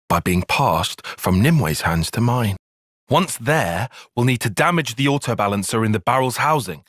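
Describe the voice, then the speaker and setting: A man's voice: mid-range voice, fairly bright tone, dry recording. A man playing an analytical, guarded young soldier strategist in a video game, speaking precisely and articulately with a dry, sarcastic edge. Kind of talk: video game cutscene voice acting.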